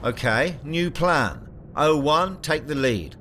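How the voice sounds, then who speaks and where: A man's voice: on the high side, sing-song, takes it slowly, a bit bright, slight background noise, full character voice. An actor playing a commanding task force squad leader in an audio drama, terse and authoritative as he gives orders. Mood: commanding, tense.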